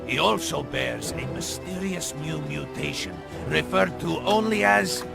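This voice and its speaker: An actor playing a scornful Soviet spymaster in a video game, speaking gravely and deliberately. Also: male voice, on the high side, lively intonation, full character voice. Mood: grave, menacing. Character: menacing, scornful Soviet spymaster briefing his comrades. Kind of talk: video game cutscene acting. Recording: dramatic music under the voice. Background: loud music.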